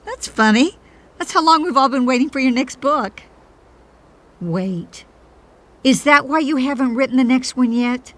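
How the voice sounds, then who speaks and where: A sympathetic woman friend in an audio comedy drama. Her voice is on the high side. She talks earnestly and consolingly, in an everyday, supportive way. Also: unhurried, pauses often, fairly bright tone.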